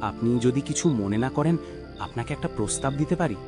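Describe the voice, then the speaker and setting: A man's voice: medium pitch, expressive, fast talker, a little mellow, soft background music, natural voice. A man playing a sympathetic, tactful family acquaintance in a cartoon. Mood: tactful, advising. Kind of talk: cartoon voice acting.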